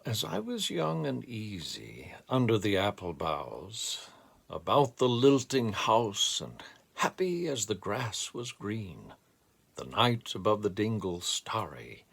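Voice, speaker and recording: A man with a gravelly voice speaking in a deliberate, measured way, as a poetry reader reflecting and reciting expressively. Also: fairly low pitch. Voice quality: gravelly, creaky voice.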